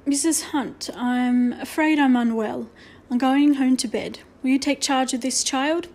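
A woman's voice: a little high, fairly even intonation, a little slow, a little mellow, close to an everyday voice. A woman voicing a shaken, unwell male headmaster in a storybook, faltering and weakly insistent.

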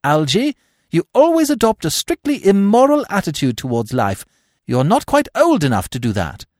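A grand young society lady, as a male reader puts her on, imperious and gushing. The voice sits high and swoops affectedly, with a dry audiobook sound. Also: huge pitch swings, normal speed, darkish tone, no room sound.

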